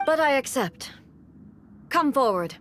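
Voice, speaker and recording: Imperious ruler, composed, highish voice. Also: female voice, lively intonation, background noise. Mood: solemn, stern. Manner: formal, measured, commanding, blunt. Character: imperious, composed female almighty ruler, coolly detached. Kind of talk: dramatic video game voice acting.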